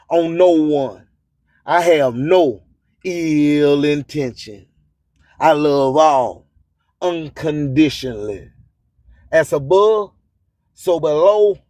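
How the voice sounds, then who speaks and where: A man reciting spiritual affirmations in short, deliberate phrases with a solemn, incantatory manner. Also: fairly high pitch, a little mellow, dry recording, studio quality.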